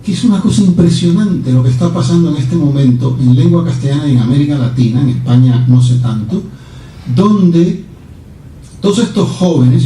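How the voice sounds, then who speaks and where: A Cuban-born man, a guest poet answering questions in a lively, wandering way, often checking for agreement with the listener. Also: a little high. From Cuba. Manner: animated, digressive, asks for agreement with "¿no?". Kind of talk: discursive talk and Q&A answers. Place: lecture room. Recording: roomy hall, speaker far from the mic, faint hiss.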